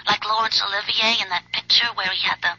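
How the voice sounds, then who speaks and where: An actress playing a scheming, impatient mistress, urgent and conspiratorial, in a 1970s radio drama. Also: female voice, mid-range voice, steady tone, fast, sharp tone, breathy edge, heavily acted. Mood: excited. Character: scheming, impatient mistress urging on her lover. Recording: muffled old radio broadcast.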